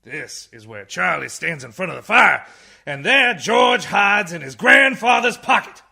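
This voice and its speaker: A raspy, ranting old man in a radio comedy, creaky and piercingly sharp. His delivery swings wildly from muttering to shouted outrage, tired yet indignant. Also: high-pitched, sing-song, unhurried, heavily acted. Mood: blustering outrage. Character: blind, tired old man, blustering indignant orator defending a boy. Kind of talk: radio comedy play parodying a film speech.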